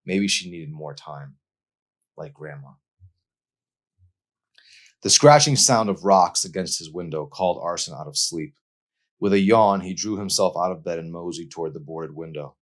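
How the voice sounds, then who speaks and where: A man's voice: low voice, moderate pace, long pauses. A man reading his own novel aloud as dramatic audiobook narration.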